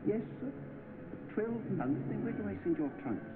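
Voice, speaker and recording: A flustered butler speaks in a high, servile, hesitant voice. The vintage film soundtrack is tinny and narrow. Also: male voice, sing-song, moderate pace, heavy background noise. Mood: bewildered. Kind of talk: vintage British film acting.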